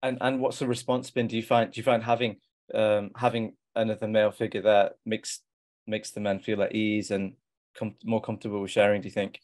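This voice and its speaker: A man's voice: lowish voice, level tone, a little fast, a little mellow. A man in his thirties hosting an online interview, introducing guests and asking encouraging follow-up questions, speaking from home in headphones. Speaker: show host. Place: home room with gaming chair. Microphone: headphones on video call.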